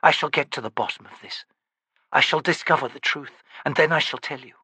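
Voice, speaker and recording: Man voicing a solemn woman warrior, low measured tone, bright voice, dry sound. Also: slightly rough, no echo, exaggerated voice. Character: stern, honourable female warrior.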